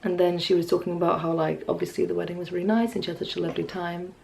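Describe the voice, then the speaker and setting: Low, creaky young Englishwoman musing. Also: steady tone, very fast, a little mellow, a little hiss or hum. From Newcastle area, north-east England. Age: 20s. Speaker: vlogger. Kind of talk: casual, rambling chat to camera. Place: small furnished bedroom at home, indoors.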